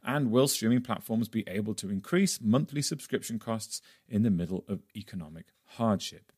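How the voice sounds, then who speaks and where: A man's voice: fairly low pitch, animated, moderate pace, darkish tone, slightly rough. A man hosting a podcast with a wry, playful manner, moving between scripted introduction and chatty interviewing. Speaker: podcast host and editor.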